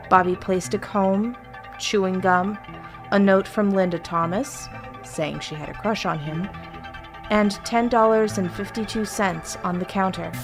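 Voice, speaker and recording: A woman narrating a scripted horror story in a measured, deliberate way with pauses. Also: medium pitch. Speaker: story reader. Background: music.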